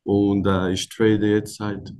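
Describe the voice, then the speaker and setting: Male guest, late 20s, from Thailand, low and hesitant over a video call. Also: flat delivery, slow. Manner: hesitant, many fillers, soft laughs. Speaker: interview guest, trader. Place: indoor room. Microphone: laptop camera, video call.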